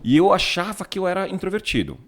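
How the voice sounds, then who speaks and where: A man's voice: high-pitched, sing-song, fast, a bit bright. A man in his forties from São Paulo with a São Paulo accent, a company CEO chatting relaxedly as an interview guest, often adding tag questions. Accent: São Paulo Portuguese. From São Paulo, Brazil. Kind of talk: casual interview storytelling.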